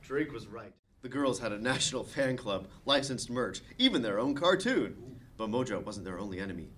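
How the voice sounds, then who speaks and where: A man's voice: medium pitch, sing-song, moderate pace, a bit bright. A man reading scene directions as narrator at a comedic table read.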